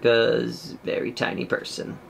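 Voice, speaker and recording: A young man casually talking through painting a miniature, chatty and rambling, with a loud projected voice, in a small room at home. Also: mid-range voice, monotone, unhurried, vocal fry, a little hiss or hum. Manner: rambling, chatty, many fillers. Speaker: miniature painting channel host. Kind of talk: casual tutorial commentary while painting.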